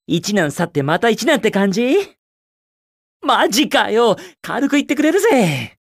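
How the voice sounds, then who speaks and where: Young video game hedgehog speedster, cocky, carefree, cool slangy quips, highly animated intonation, close dry recording. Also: male voice, a little slow, vocal fry, dry recording, full character voice. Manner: cool, brash, slangy, quippy. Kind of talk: Japanese video game character voice lines.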